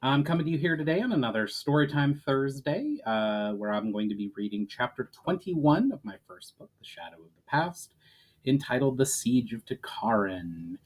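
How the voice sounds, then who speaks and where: A man's voice: mid-range voice, lively intonation, moderate pace, poor audio. A man who hosts a story session as an author, presenting and reading his own fantasy writing aloud. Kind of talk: informal introduction, then dramatic story narration.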